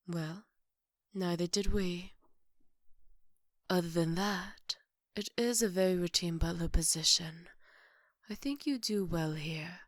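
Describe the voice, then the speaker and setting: A woman playing a composed, formal maid to a vampire, polite and gently teasing, in an ASMR roleplay. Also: on the low side, no room sound, clean studio sound. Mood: amused, courteous. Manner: polite, measured, wry.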